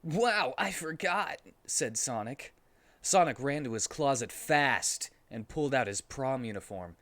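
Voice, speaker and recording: A man reading amateur fiction aloud as a streamer, in a mock-serious, animated comic style. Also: mid-range voice, unhurried, no room sound.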